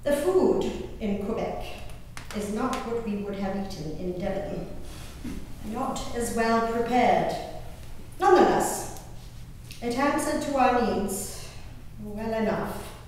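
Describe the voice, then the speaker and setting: A woman on stage playing a spirited, haughty colonial English gentlewoman reading her diary, in prim, emphatic, old-fashioned formal diction with savored pauses. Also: fairly high pitch, lively intonation. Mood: fond, contented. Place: indoor hall, live performance recorded from a distance. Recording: roomy echoing hall, distant mic, faint noise.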